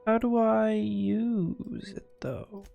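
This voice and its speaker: A woman's voice: mid-range voice, drawn-out words, creaky, muffled recording. A woman giving casual, flippant, joking commentary while streaming a video game. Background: game music.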